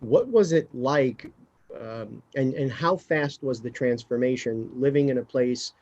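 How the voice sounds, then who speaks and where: Man hosting a podcast, mid-pitched. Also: unhurried, a little mellow, muffled recording. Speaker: podcast host. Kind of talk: conversational podcast interviewing.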